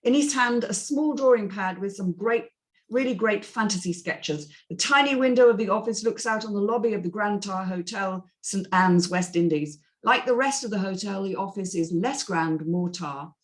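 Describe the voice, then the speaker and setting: A woman serves as narrator for a script reading. She delivers stage directions and scene descriptions in a mid-pitched voice. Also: normal speed.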